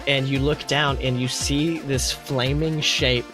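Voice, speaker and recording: A man's voice: mid-range voice, steady tone, slow pace, over music. A man hosting a podcast, telling improvised fantasy stories as game master and chatting. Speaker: podcast host. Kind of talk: improvised second-person fantasy storytelling and podcast chat.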